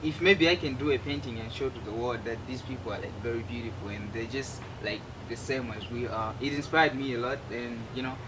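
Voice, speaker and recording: A man's voice: mid-range voice, a little fast, few pauses. A man, answering interview questions in an earnest, loose way full of fillers. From Malawi. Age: 20s. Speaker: guest artist. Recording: noisy room with air conditioning hum.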